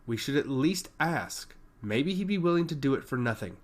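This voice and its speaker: A man's voice: mid-range voice, expressive, normal speed, lightly acted. A man voicing an earnest, insistent princess who pleads her case in a fantasy story. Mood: insistent pleading.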